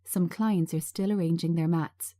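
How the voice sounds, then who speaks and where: A woman giving a scripted narration of screenplay directions in a measured, understated manner. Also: fairly low pitch, fairly even intonation, dark tone, no room sound, studio quality.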